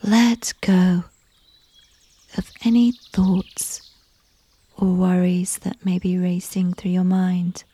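Female meditation guide: mellow, low-leaning voice, soft and whispery, gentle and soothing scripted delivery. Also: little pitch movement, takes it slowly, long pauses, dry recording. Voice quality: soft, breathy, often near-whispered. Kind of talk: slow scripted sleep visualization, soft and whispery.

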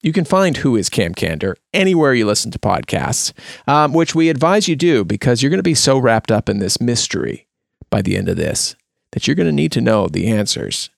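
A man's voice: medium pitch, big pitch swings, fairly quick, creaky, no room sound, clean studio sound. A man hosting a podcast with casual, playfully dramatic banter. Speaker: podcast host. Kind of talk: casual comic banter and introduction.

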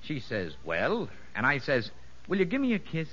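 An actor playing a boastful, streetwise convict safecracker in a 1950s radio drama, talking in ungrammatical slang dressed up with pompous fancy words. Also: male voice, fairly high pitch, huge pitch swings, moderate pace, fairly bright tone, slight background noise. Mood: boastful, relishing. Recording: dull vintage 1950s radio recording.

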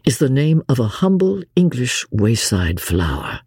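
An audiobook reader voicing an ardent young English gentleman, solemn and full of admiration. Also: medium pitch, very animated, unhurried, breathy edge, dry recording, clean studio sound, voice pushed down, full character voice. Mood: reverent.

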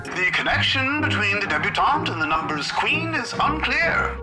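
An actor playing a formal 1930s radio newsreader delivering a bulletin in an audio drama. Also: male voice, high voice, big pitch swings, normal speed, over loud music. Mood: formal, urgent. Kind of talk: old-time radio news bulletin.

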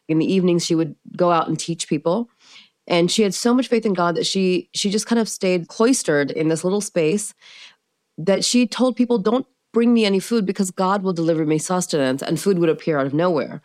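Chatty woman, smooth and close. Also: fairly low pitch, a little fast, no room sound. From Pakistan, raised in the United States. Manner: animated storyteller with frequent fillers and laughs. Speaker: interview guest. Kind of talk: casual conversational interview.

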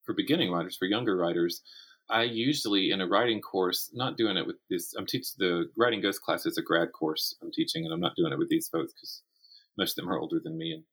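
A man's voice: deep voice, fairly quick, a bit bright, breathy edge, rough recording. A middle-aged man talking casually as an interview guest, explaining things in a self-deprecating, stop-and-start way. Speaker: podcast guest, writer and writing teacher.

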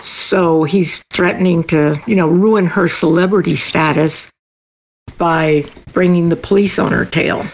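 A woman's voice: lowish voice, fairly even intonation, unhurried, creaky, poor audio. A middle-aged woman speaking casually as an author being interviewed. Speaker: guest, self-published author.

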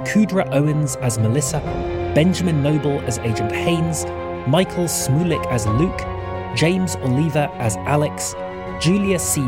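Man reading podcast credits over loud music. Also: fairly high pitch. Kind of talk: scripted narration.

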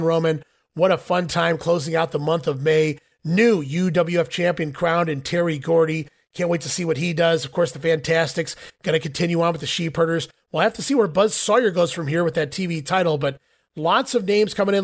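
Hoarse man hosting a talk show. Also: a little high. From northeastern Ohio. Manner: recapping, wraps up the show. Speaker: podcast host. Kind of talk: casual chat.

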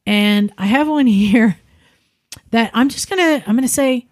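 A woman's voice: a little high, unhurried. A woman hosting a podcast, reading listener emails aloud in a chatty way and laughing often. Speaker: podcast host.

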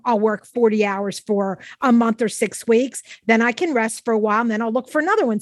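Older lady podcast host, bit high pitched, no room echo, chatty and plain spoken. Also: clean studio sound. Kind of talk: casual interview chat.

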